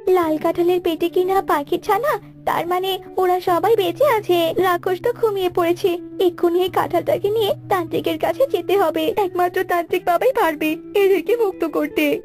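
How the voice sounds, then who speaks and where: A woman's voice: very high-pitched, normal speed, a little mellow, faint music behind. A woman voicing a worried, caring mother bird in a children's fairy-tale cartoon, speaking earnestly and imploringly. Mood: alarmed resolute.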